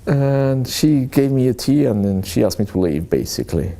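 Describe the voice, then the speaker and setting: A man's voice: mid-range voice, animated, moderate pace, mellow. A middle-aged man being interviewed, telling his experiences in a hesitant, reflective way with many fillers and restarts. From born in Aleppo, Syria. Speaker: journalist interviewee.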